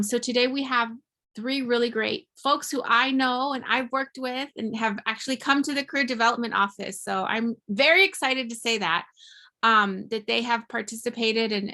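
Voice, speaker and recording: Woman hosting a video call panel, bright highish voice, kinda halting but keen. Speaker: panel host, career office staff. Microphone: computer mic on video call.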